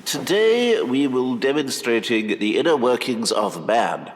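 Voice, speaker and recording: An actor playing a pompous, condescending Edwardian surgeon in a period audio drama, with a lecturing manner. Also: male voice, mid-range voice, animated, unhurried, a little hiss or hum, natural voice. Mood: pompous, impatient. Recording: echoey reverberant hall sound.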